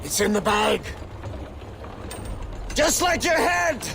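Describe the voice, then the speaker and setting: High-pitched, gravelly gloating villain. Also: male voice, very animated, noisy background, full character voice. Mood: menacing glee. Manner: theatrical, sneering, cackling, drawn-out delivery. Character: gloating, manic villainous military commander taunting captives. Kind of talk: video game villain acting.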